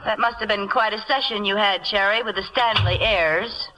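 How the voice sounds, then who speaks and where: An actress playing a wry, brisk and capable secretary with a dry, familiar manner in a 1940s radio comedy. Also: female voice, medium pitch, steady tone, bright, forceful tone, a little hiss or hum, close to an everyday voice, low-quality recording. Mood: brisk, concerned. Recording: vintage radio broadcast recording.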